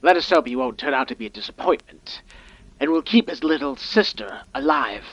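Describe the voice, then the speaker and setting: A man playing a coldly ambitious scientist who dictates an audio log in a pompous, deliberate manner. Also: fairly high pitch, very animated, creaky, a bit bright, highs cut off, exaggerated voice. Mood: smug, scheming. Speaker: doctor. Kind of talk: audio diary monologue, game-style acting.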